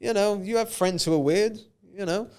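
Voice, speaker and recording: A man's voice: high voice, normal speed, a little mellow, clean tone. A man talking as a guest on a car podcast, blunt and swearing freely in casual chat.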